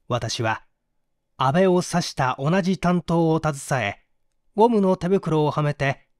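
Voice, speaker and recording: A man narrating a mystery story as a first-person confession, in a measured, formal literary delivery. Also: fairly high pitch, dry recording, studio quality. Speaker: audiobook narrator.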